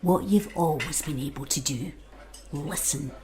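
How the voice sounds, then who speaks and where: Mellow, unhurried old Scottish aunt. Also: female voice, sits low. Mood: grave, soothing. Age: older. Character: frail, wise old aunt, a no-nonsense island beekeeper. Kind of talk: naturalistic audio drama acting.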